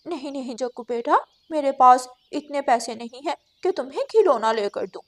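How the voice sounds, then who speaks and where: A woman voicing a bossy, scheming wife crow in a children's cartoon, with a sharp, commanding manner. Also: high voice, animated, normal speed, full character voice. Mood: firm. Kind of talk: Hindi children's cartoon dubbing.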